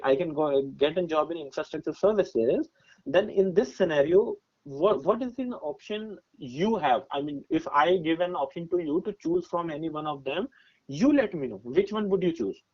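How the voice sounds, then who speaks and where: A man's voice: a little high, animated, normal speed. A man in his twenties with an Indian accent, giving career advice as a podcast guest in an emphatic, explanatory conversational way, often checking that he is followed. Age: 20s. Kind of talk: conversational advice with personal examples. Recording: dull, muffled lo-fi audio.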